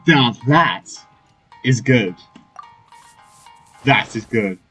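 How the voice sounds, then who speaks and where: A woman's voice: very deep voice, animated, very slow, fairly bright tone, slightly rough, faint music behind. A woman doing casual let's play commentary on a game, reacting in short exclamations. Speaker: gamer playing through a story quest.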